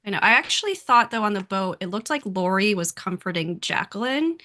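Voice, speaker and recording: A woman podcast guest with a bright, forward voice at a middling pitch. She chats casually and conversationally, voicing other people's imagined lines to illustrate her points. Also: animated, fairly quick. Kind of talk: casual discussion.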